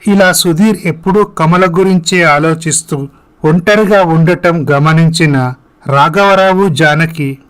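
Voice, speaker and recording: A man's voice: fairly high pitch, a little slow, a bit bright, poor audio. A man reading scripted narration for a moral story.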